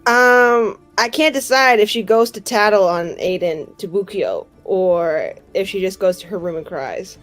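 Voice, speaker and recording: A woman's voice: medium pitch, a little slow, few pauses, fairly bright tone, soft background music. A woman talking casually as a player in a tabletop roleplaying game.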